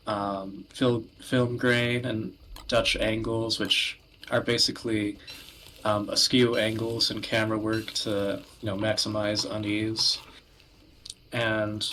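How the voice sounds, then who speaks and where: A man hosting a casual discussion, speaking haltingly with frequent pauses as he searches for words. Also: lowish voice, sharp tone, a little hiss or hum, poor audio. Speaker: podcast host. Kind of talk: casual discussion of video games.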